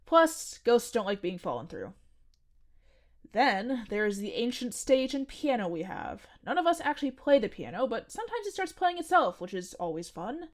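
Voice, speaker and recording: A woman's voice: a little high, sing-song, normal speed. A woman playing a breezy, oddball coworker. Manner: breezy, matter-of-fact, teasing. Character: odd, cheerfully nerdy young woman coworker, unbothered by ghosts. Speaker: restaurant janitor. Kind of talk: comedic audio drama acting.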